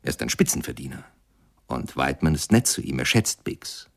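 A man's voice: a bass voice, very animated, a little fast, a bit bright, exaggerated voice. A man acting in a German radio play as a musing biographer-narrator who conversationally questions and prompts his listener. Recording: music clearly behind the voice.

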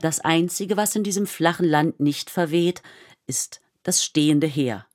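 A woman narrating a historical radio play in a measured, composed, literary manner. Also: deep voice, darkish tone, soft background music.

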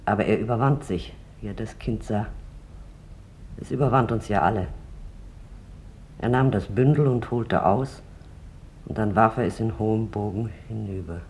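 A performer playing a bitter woman from Amsterdam who gives testimony in a radio drama, recalling it haltingly and intensely. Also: medium pitch, a little mellow, a little hiss or hum, natural voice. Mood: grave recollection. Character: bitter adult woman from Amsterdam, camp witness.

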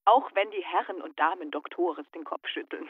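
A teasing friend in a radio play, heard through a muffled telephone. The voice is high and wry, with dry, mocking banter. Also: male voice, normal speed, husky. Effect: telephone filter. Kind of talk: German radio drama acting. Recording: dull lo-fi telephone line.

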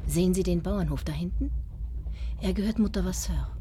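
An actress in a radio drama playing an elegant, guarded countess with a formal, imperious manner. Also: female voice, lowish voice, fairly quick, warm tone, a little hiss or hum, close to an everyday voice. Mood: commanding.

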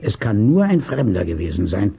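An actor playing a grave, plain-spoken woodsman in a children's audio drama, with a gruff, raspy voice. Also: lowish voice, big pitch swings, normal speed, mellow, exaggerated voice. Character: sober, regretful adult woodsman, protective of animals. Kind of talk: 1970s German children's audio drama acting.

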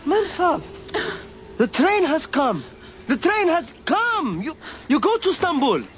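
An actor playing an excitable male servant calling out to his mistress in a radio drama. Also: very high voice, measured pace, a little hiss or hum, exaggerated voice. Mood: urgent, excited.

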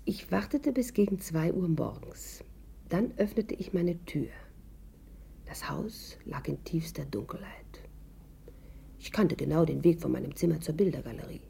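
A woman playing a cool, confident thief, narrating her adventure in a radio drama with a husky, breathy voice. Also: low-pitched, lively intonation, normal speed, warm tone, a little hiss or hum, heavily acted. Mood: hushed suspense. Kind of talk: first-person scripted radio drama narration.

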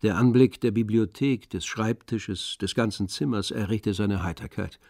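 A man playing the composed, observant narrator of a literary radio drama, with measured delivery. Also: fairly low pitch, creaky, a little mellow, no echo, clean studio sound.

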